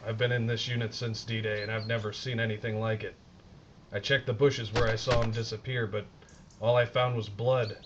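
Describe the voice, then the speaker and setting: A man's voice: lowish voice, level tone, normal speed, close to an everyday voice. Male actor as American WWII sergeant, audio drama, plain-spoken, steadfast but rattled, dull lo-fi sound, noisy. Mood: shaken. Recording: muffled lo-fi audio with noise.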